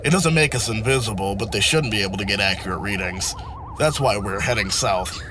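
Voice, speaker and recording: An actor playing a brisk, no-nonsense airship captain in an audio drama. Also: male voice, lowish voice, noisy background, natural voice. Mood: businesslike, firm. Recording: music behind.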